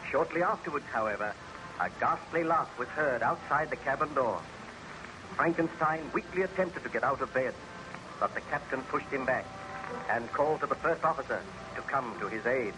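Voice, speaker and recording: A man's voice: mid-range voice, lively intonation, moderate pace, background noise. A man narrating an old radio drama as announcer, in a formal, dramatic storytelling style. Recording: old radio serial recording. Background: music.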